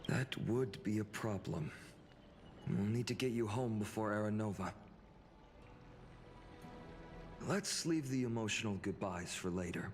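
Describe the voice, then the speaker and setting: An actor playing a gallant, earnest young fantasy hero in a video game, speaking in a measured, courteous way. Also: male voice, lowish voice, lightly acted. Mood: earnest, calm. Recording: long reverb, game music behind.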